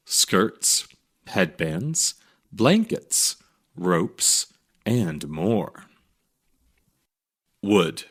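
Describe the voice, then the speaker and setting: A man narrates a children's educational storytime piece in a fairly low voice. His intonation rises and falls very widely from phrase to phrase. He takes his time, phrasing each part clearly at a slow pace. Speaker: narrator reading a children's magazine article.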